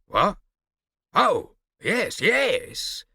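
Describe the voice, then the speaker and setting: A man's voice: medium pitch, big pitch swings, moderate pace, bright, forceful tone, no room sound, studio quality, pitched above the performer's own voice, heavily acted. A man voicing a burly, menacing red-bearded bully who sneers and roars. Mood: flustered. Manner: sneering, roaring, blustering. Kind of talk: audiobook character voice.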